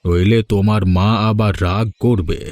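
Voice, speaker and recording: A man voicing a lonely older father-in-law in a cartoon story, speaking gently and wearily. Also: on the low side, steady tone, normal speed, no room sound, close to an everyday voice. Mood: gentle. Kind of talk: cartoon voice acting.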